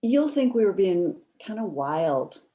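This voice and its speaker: A woman's voice: mid-range voice, very animated, a little slow, warm tone, lo-fi sound. A woman acting a coy, sheepish friend who guards a secret, giving hesitant, evasive replies in a conversational comic one-act.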